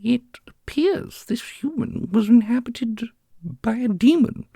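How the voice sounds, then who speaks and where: A woman's voice: a little high, animated, takes it slowly, gravelly, dark tone, husky, dry recording, clean studio sound, full character voice. A woman playing a composed, knowing sage in a fantasy audio roleplay, with formal and theatrical delivery. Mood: composed, intrigued.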